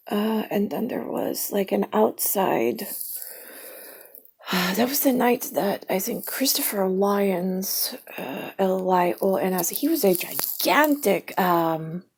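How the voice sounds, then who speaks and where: Woman vlogger, very husky and gravelly, slow, drifting monologue that keeps spelling words out. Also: mid-range voice, darkish tone. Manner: spells words out letter by letter, drifts between topics; often drops to a half-whisper. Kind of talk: rambling stream-of-consciousness monologue.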